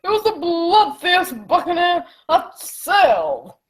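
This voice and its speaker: Woman as pompous squire, high voice, slow drawn-out delivery, excitable, theatrical radio acting. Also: exaggerated voice. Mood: excited. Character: blustering, excitable English squire, grown man. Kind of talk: stage-style radio drama acting.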